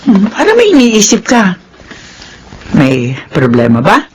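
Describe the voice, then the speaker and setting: An actress in a Filipino radio drama playing a kindly but sorrowful employer who speaks gently. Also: female voice, medium pitch, huge pitch swings, unhurried, a little hiss or hum. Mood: gentle, touched. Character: kindly, sorrowful female employer with a guilty past.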